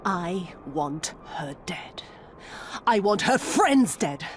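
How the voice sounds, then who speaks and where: A woman's voice: on the low side, big pitch swings, slow, faint music behind. An actress playing a cruel, vengeful female villain in a video game, speaking with seething, venomous hatred. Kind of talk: dramatic video game acting.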